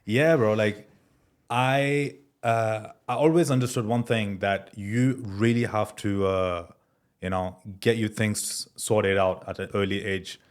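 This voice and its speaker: A young man from France, a guest entrepreneur, talking about his life in a relaxed interview full of fillers like "bro" and "man.". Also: lowish voice, slow pace, smooth. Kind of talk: casual interview chat.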